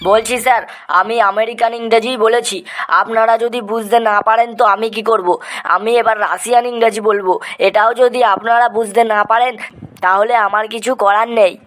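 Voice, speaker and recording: A woman's voice: on the high side, steady tone, moderate pace, fairly bright tone, close to an everyday voice. A woman voicing a cocky, mischievous schoolboy in a comedy cartoon, eager and boastful.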